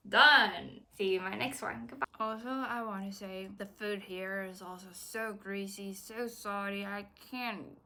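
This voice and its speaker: A woman's voice: medium pitch, steady tone, unhurried, vocal fry, hardly any pauses, sharp tone, slight background noise. Earnest woman vlogger from Taiwan. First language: Chinese. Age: 20s. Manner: earnest, encouraging, chatty. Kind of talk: casual talk to camera.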